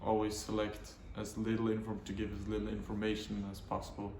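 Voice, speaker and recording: Flat-voiced young man in echoey surroundings. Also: lowish voice, a little fast. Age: late teens. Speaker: medical student interviewee. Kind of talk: casual interview answers. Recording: roomy echo, faint background noise.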